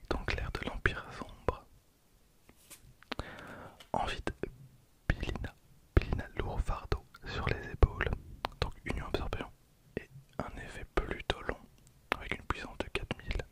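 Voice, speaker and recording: A young man speaks entirely in a breathy, voiceless whisper, as an ASMR host would. He is close to the microphone, softly commenting in an unhurried way and often repeating his phrases. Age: 20s. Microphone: close handheld-style stereo recorder.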